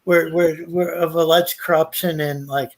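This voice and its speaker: A man on a podcast, chatting casually, with halting speech full of restarts and "like". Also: a little high, flat delivery. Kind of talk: casual chat.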